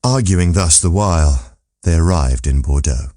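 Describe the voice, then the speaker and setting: Low-voiced man narrating an audiobook. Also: lively intonation, unhurried, mellow, no room sound, studio quality. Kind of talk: scripted literary narration.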